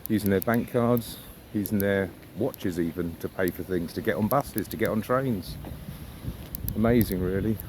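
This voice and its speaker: Low-voiced man, musing outdoors. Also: a little mellow. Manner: musing, with rhetorical questions. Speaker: vlogger. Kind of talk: casual reflective monologue while walking. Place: outdoors on a riverside walk. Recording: outdoor ambient noise, birds and traffic.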